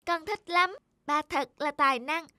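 A woman voicing an eager, admiring little boy in a cartoon, with an excited childlike manner. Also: high voice, measured pace, no echo, studio quality. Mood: delighted. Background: background music.

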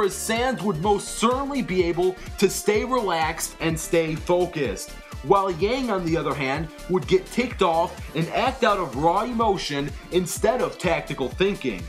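A video host reading scripted commentary and addressing viewers directly. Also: medium pitch. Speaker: host of an animated versus show. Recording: music under the voice. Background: background music.

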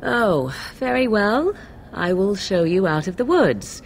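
A woman's voice: mid-range voice, sing-song, slow, full character voice. An actress playing a haughty, impatient young witch of the wilds in a fantasy game, cool and curt. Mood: curt, disdainful. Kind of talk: fantasy video game voice acting.

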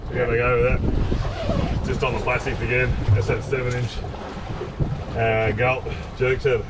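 A man hosts a fishing trip from a boat at sea, keeping up easy banter and laughing often. His voice sits in an ordinary middle pitch. Heavy wind and engine noise roar behind him. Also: huge pitch swings, a little slow. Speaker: fishing channel host. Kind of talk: casual chat while fishing.

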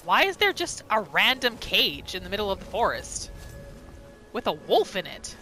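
A woman's voice: mid-range voice, very animated, normal speed. A woman giving reactive gameplay commentary on a roleplay, full of exclamations and rhetorical questions. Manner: exclaims, asks rhetorical questions, speaks for the character. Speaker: gamer narrating a roleplay playthrough. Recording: game music behind the voice. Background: video game music and sounds.

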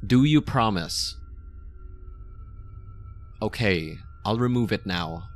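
Possessive mafia boss lover, played by a man: deepish voice, intimate and coaxing, obsessively devoted, ASMR audio roleplay over light music. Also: animated. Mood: firm, coaxing. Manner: slow, soft, coaxing, close and intimate.

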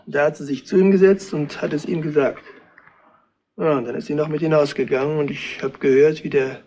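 An actor playing a distraught, jealous innkeeper in a retro radio crime play, speaking haltingly and repeating himself. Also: male voice, fairly high pitch, fairly even intonation, a little mellow, slight background noise, close to an everyday voice. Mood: agitated. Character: distraught, jealous innkeeper husband of murdered wife.

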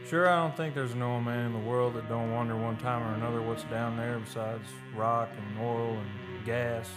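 An actor playing a rough, folksy oil roughneck telling his tale in colloquial speech, in an old-time radio drama style. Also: mid-range voice, steady tone, normal speed, natural voice. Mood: musing, storytelling. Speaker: actor and narrator in radio play. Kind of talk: old-time radio drama acting, first-person storytelling. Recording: live radio studio performance with music.

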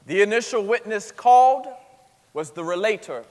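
A man's voice: high voice, lively intonation, moderate pace. A man giving scripted narration within a dramatic stage reading. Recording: echoey theatre stage, long reverb.

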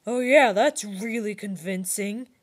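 A woman's voice: medium pitch, a little slow, a crowd in the background, lightly acted. A woman voicing a bossy, short-tempered teenage girl in a cartoon parody, sarcastic and snappy. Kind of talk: anime parody fan dub.

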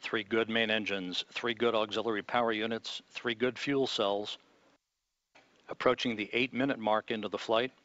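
A man giving live launch commentary from mission control, in a measured, calm announcer delivery. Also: on the low side, no room sound, lo-fi sound.

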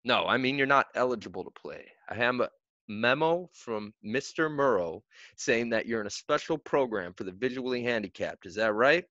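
A man voicing a middle-aged male soccer coach who lays down the rules in a firm, measured way. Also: medium pitch, expressive, pushed and bright, dry recording, highs cut off. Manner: firm, measured, rule-bound. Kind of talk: audiobook character voice.

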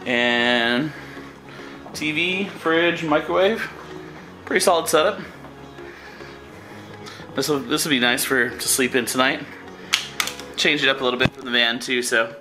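A man's voice: mid-range voice, a little slow, pauses often, music in the background, poor audio. Easygoing man vlogging to a handheld camera. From southern California. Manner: relaxed, rambling, lots of fillers. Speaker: travel vlogger. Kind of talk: casual vlog narration. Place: outdoors, hotel room and parked van. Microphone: handheld camera at arm's length.

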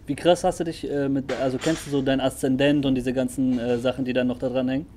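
A man's voice: medium pitch, flat delivery, fast talker, dark tone, slight background noise. A man interviewing a rapper over a phone video call, casual and affirming, asking follow-up questions. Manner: affirming, many short agreements.

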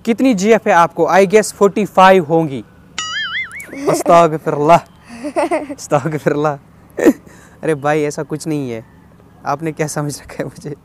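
Higher-pitched young Kashmiri man vlogging. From Kashmir, India. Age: 20s. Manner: chatty, reads questions aloud then answers, slips English phrases in. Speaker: vlogger answering viewer questions. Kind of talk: casual question-and-answer vlog. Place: outdoors on a rooftop.

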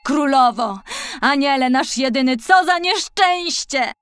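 A woman's voice: high-pitched, expressive, fairly bright tone. Woman playing a lamenting royal gardener man. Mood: wailing, distressed. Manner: lamenting, drawn-out. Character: wailing, loyal male royal gardener, grumbling and distressed.

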